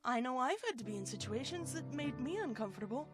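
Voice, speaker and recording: A woman voicing a practical, reassuring young boy dragon in an animated drama. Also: high voice, fast talker, faint music behind. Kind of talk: animated pony fan-drama acting.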